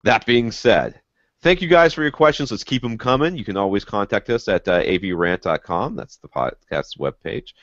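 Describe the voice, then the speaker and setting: A man's voice: lowish voice, expressive, normal speed, a bit bright, no room sound. A middle-aged man hosting a casual podcast from home, tired and sick and losing his voice, talking over a headset on a video call. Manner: tired, wrapping up. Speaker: podcast host. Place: home room, in armchair. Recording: dry, slightly dull video-call audio.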